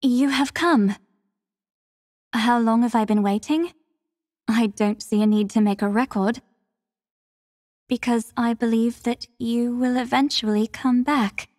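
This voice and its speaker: Woman doing a soft formal game warrior girl, young adult, calm n devoted, kinda high voice, close and dry but with a reverb tail. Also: close to an everyday voice. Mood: earnest, composed. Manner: formal, measured, soft-spoken courtesy. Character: devoted, gentle, composed young female warrior. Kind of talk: scripted mobile game character lines.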